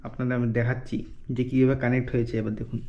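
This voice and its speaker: A man's voice: mid-range voice, completely flat delivery, rapid-fire, creaky, warm tone. A man giving a casual, step-by-step product demonstration in an explanatory way, often checking in with a short "okay". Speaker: product reviewer. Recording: dull lo-fi sound with faint noise.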